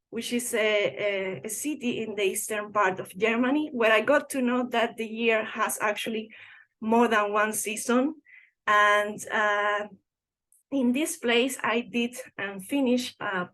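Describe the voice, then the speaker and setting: A woman, giving a science lecture carefully with frequent pauses. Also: fairly high pitch, a bit bright, rough recording. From Cuba. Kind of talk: lecture presentation.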